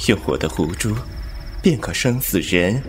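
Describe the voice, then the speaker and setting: A man voicing a proud, sly male fox spirit in a fan audio drama, mocking with soft scornful laughs. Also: on the low side, expressive, normal speed, slight background noise, lightly acted. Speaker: narrator and lead. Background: loud background music.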